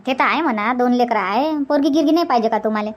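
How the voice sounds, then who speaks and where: A woman's voice: fairly high pitch, quick pace, lightly acted. A woman voicing an opinionated, chatty village aunt in a cartoon comedy, with a rural Vidarbha Marathi accent.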